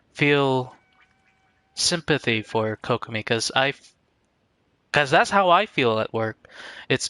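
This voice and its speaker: Clear, bright-voiced man streaming, rambling casually. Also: mid-range voice, no room sound. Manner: halting, rambling, repeats words. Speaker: game streamer. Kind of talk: casual live-stream commentary.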